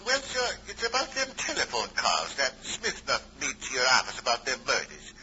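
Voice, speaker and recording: A man's voice: mid-range voice, wildly swooping pitch, normal speed, bright, forceful tone, full character voice. An actor playing a deferential, puzzled policeman in a 1940s radio drama. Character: excitable, deferential Irish policeman Cassidy. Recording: muffled, noisy old radio recording.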